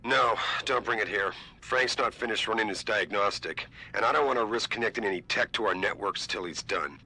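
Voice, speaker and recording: A man's voice: lowish voice, a little fast, bright, forceful tone, husky, exaggerated voice. A man voicing a male company boss in a game, giving firm orders. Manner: firm, directive.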